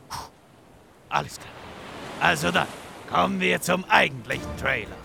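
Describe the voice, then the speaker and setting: A man's voice: sits high, gravelly, full character voice. An actor playing a pompous, irritable narrator who bosses his assistant around, theatrical and exasperated, in a comic audio drama. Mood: weary. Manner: theatrical, exasperated, swinging from muttering to shouting.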